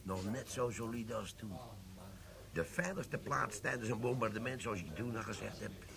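A gravelly, scheming army sergeant. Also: male voice, lowish voice, animated, normal speed. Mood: bantering. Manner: cheeky, flirtatious, conspiratorial. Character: sly scheming middle-aged army sergeant. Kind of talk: radio play acting. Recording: pub chatter behind.

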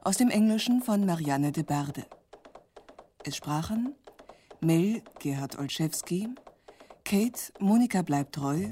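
Low-voiced woman announcer over music. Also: warm tone. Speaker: radio announcer. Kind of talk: measured scripted credits reading. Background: prominent music.

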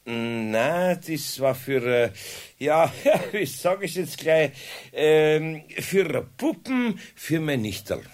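An actor playing a kindly, evasive elderly master carpenter in a 1970s radio play, speaking with a Bavarian accent and hesitating often. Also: male voice, a little high, big pitch swings, takes it slowly, hardly any pauses. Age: older. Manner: hesitant, stammering with fillers.

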